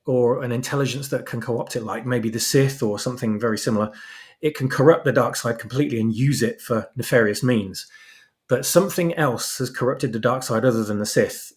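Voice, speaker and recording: Thoughtful man explaining, mid-pitched voice. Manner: thoughtful, searching for words. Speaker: guest, fan fiction writer. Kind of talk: explanatory interview talk.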